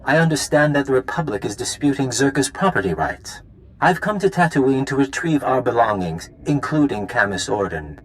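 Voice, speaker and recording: A man's voice: medium pitch, big pitch swings, normal speed, a little hiss or hum, natural voice, poor audio. A man playing a smug, polite corporate enforcer villain in a video game, talking with suave mock courtesy. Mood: mock-friendly.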